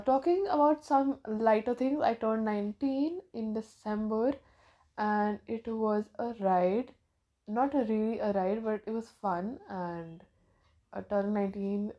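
A woman's voice: medium pitch, warm tone. A nineteen-year-old woman from India hosting her own podcast, thinking aloud in a rambling, casual way with lots of fillers and restarts. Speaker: podcast host. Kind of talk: casual solo podcast monologue.

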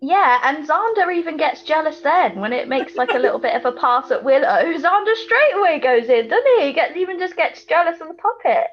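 British female podcast co-host, higher voice, colloquial and opinionated, casual recap style, slightly dull lo-fi sound. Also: sing-song, brisk, hardly any pauses. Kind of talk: casual chat, TV episode recap.

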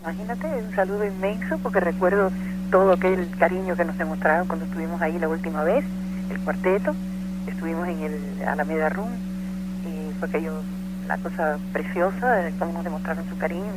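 A woman's voice: on the low side, fairly even intonation, fairly quick, very noisy. Older Cuban woman talking over a phone line. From Cuba. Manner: warm, reminiscing, laughs easily. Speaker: interview guest, singer.

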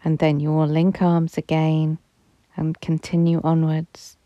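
A woman guides a visualization meditation with a soft, soothing manner, her voice low-pitched and warm. She speaks very slowly, letting each phrase settle, and at times sinks nearly to a whisper. The recording is close and dry, with no room sound. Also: completely flat delivery, no room sound, lo-fi sound.

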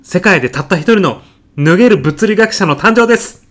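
A man playing an earnest physicist in an audio comedy sketch, speaking formally and reverently of his mentor with deadpan, grandiose solemnity. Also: high voice, fairly quick. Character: earnest, reverent male physicist, devoted protégé of late professor.

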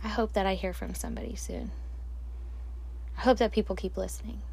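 A woman hosting a solo podcast alone at night, talking slowly and calmly into a microphone in a soft, soothing voice with quiet laughs. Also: mid-range voice, vocal fry, breathy edge. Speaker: podcast host. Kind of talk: solo reflective podcast monologue. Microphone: handheld or desk microphone, alone at night.

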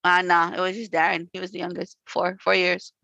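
A woman in her forties co-hosting a casual chat, frank and animated, laughing often and swearing freely. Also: on the low side, brisk, vocal fry, no echo, muffled recording. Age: 40s. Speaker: podcast co-host.

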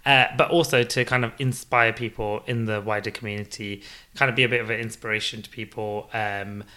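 A man's voice: on the low side, fairly even intonation, fairly quick, pushed and bright. A man in his thirties from the United Kingdom, a podcast guest who laughs often and fills with ums. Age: 30s. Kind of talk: casual interview.

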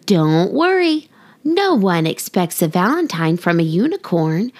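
A woman's voice: on the low side, big pitch swings, unhurried, a little mellow. A woman voicing a cheerful, affectionate little girl in a storybook, with an eager, singsong delivery. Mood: excited.

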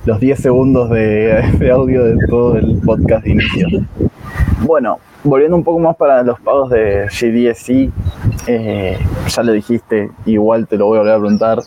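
A man hosting a podcast, asking relaxed, conversational questions. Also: mid-range voice, normal speed, noisy background. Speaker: podcast host. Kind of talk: interview questions.

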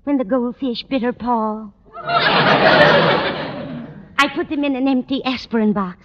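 A woman's voice: on the high side, a little slow, natural voice. An actress playing a kindly, fussy, scatterbrained older landlady in a vintage radio comedy, rambling in a motherly way. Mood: flustered. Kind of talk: 1940s-50s radio comedy acting. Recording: muffled, noisy old-time radio recording.

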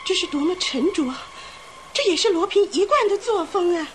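A woman's voice: very high voice, lively intonation, brisk, slight background noise. An actress playing a distraught wealthy lady in a Chinese radio drama, breathless, tearful and melodramatic. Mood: tearful, indignant. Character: distraught wealthy society lady, theft victim, wailing.

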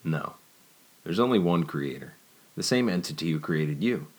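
A man doing a soft-spoken courtly ghost. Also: animated. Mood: serene. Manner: low, slow, soft and measured. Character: calm male ghost, gentle and courtly.

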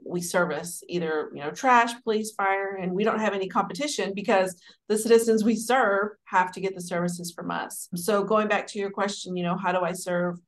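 A woman in her forties from Dallas, telling her career story as an interview guest in a warm, rambling, conversational way. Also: medium pitch, moderate pace, few pauses. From Dallas, Texas. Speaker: guest, city administrator.

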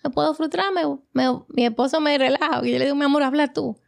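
Woman, Dominican accent, somewhat high voice, frank and reflective, podcast guest chat. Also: fairly even intonation, quick pace, creaky. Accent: Dominican Spanish. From Dominican Republic. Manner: reflective, frank about struggles. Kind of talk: casual conversation.

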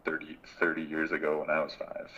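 A man in his thirties, a guest in a casual interview, speaking in a thoughtful, reflective way and pausing often mid-sentence. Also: extremely low pitch, completely flat delivery, a bit bright, lo-fi sound, a little hiss or hum. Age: 30s. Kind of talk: casual conversation.

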